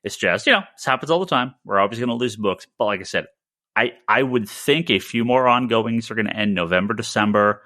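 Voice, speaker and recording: Bright-voiced, low-pitched man, podcast host. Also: expressive, brisk, no room sound, clean studio sound. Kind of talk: casual comics news discussion.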